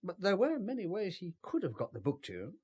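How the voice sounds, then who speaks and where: An actor playing a cagey, ruthless British spymaster in an audio drama, with formal, understated speech and a husky voice. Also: male voice, high-pitched, wildly swooping pitch, fairly quick, darkish tone, full character voice. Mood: smooth, calculating.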